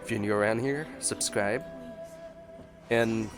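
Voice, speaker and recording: A man's voice: on the low side, measured pace. A man giving casual, chatty, playful reaction commentary as a gaming streamer. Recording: game music behind the voice. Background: game music.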